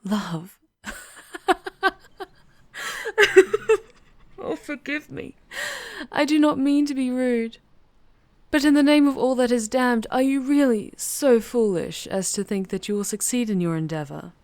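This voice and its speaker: A woman voicing a seductive, proud, ancient female demon in an ASMR audio roleplay, speaking formally and deliberately with a teasing, commanding charm. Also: on the high side, dark tone, dry recording, close to an everyday voice. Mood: intrigued, measured, then amused, incredulous.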